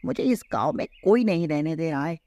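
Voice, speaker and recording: Lady doing a plaintive skinny cartoon cow for a kids story, very animated pitch, dry sound. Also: a little fast, no room sound. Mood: distressed, pleading. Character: skinny, harassed village cow, plaintive and pleading. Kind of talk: children's cartoon story acting.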